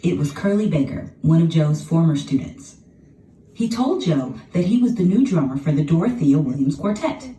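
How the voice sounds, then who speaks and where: A woman's voice: sits low, animated, moderate pace, mellow. A woman reading scripted children's story narration as a storybook narrator. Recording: roomy, distant playback with music behind.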